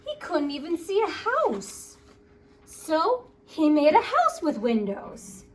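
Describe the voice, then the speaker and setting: A woman reading a picture book aloud to children in an expressive, playful storytelling way, with chatty asides. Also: extremely high pitch, slow, slight background noise. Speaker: story time reader.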